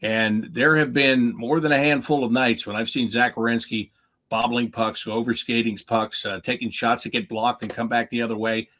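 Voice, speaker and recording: A man with a North American accent and a mid-pitched voice talks sports off the cuff as a guest analyst. He is emphatic, asking questions and then answering them himself. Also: flat delivery, normal speed, pushed and bright. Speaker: hockey play-by-play announcer as guest analyst. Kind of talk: unscripted sports talk, analysis.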